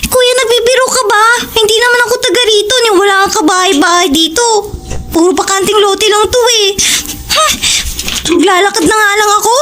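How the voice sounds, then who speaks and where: A woman's voice: very high-pitched, brisk, over music. A woman playing a polite, chatty twelve-year-old schoolgirl in an audio drama. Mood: alarmed, protesting. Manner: polite, childlike, colloquial.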